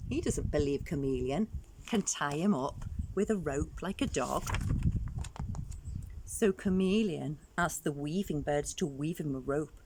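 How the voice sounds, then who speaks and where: A woman's voice: deep voice, darkish tone, slight background noise. A woman reading a story aloud to young children expressively, adding questioning asides for the listeners.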